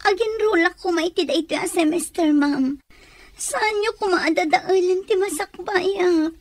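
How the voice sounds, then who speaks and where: An actress with an Ilocano Filipino accent playing a tearful young student pleading to be set free in a radio drama. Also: female voice, very high voice, a little slow, darkish tone. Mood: distressed, protesting. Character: pleading young student held against her will.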